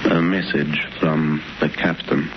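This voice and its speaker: A smug, scheming foreign crook in 1930s radio drama. His voice is low and theatrical, caught on a dull, noisy vintage recording. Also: flat delivery, slow pace, full character voice. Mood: conspiratorial. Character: sly foreign male criminal accomplice, smugly scheming.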